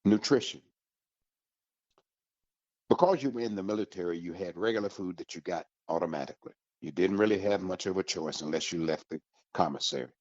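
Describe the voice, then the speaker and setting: An American man hosting an informational radio talk, speaking with emphatic, deliberate, motivational phrasing. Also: darkish tone, breathy edge. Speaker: radio host. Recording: muffled, roomy, echoey low-fidelity sound.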